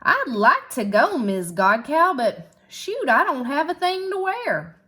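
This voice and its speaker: Storybook cowboy lad, acted by a woman: humble, eager, folksy, high and very lively. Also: takes it slowly, heavily acted. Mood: wistful. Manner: folksy country dialect.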